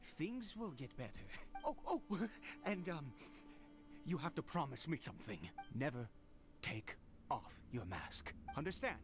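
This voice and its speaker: A man playing a fervent, anxious, middle-aged father in a video game, speaking haltingly with stammers and exclamations. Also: fairly high pitch, sing-song. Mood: anxious, soothing. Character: desperate, fervent, anxious father doting on his son. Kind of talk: dramatic video game voice acting. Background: background music.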